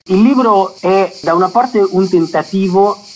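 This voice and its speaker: A man's voice: sits high, unhurried, low-quality recording. A man speaking as an interviewed author, explaining analytically in long sentences.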